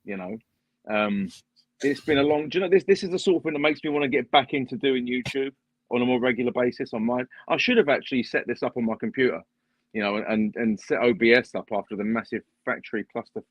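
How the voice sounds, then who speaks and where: A man's voice: mid-range voice, fast, no room sound. A man appearing as a guest on a live stream, chatting casually and explaining things at length with easy humor. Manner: chatty, explains at length, laughs at his own jokes. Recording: dull, dry home stream audio.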